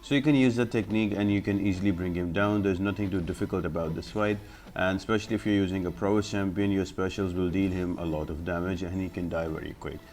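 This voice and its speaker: Low-voiced man giving a game walkthrough. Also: fairly even intonation, fast, darkish tone, a little hiss or hum. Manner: instructional, step-by-step. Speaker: gaming guide host. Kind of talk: explanatory gameplay commentary.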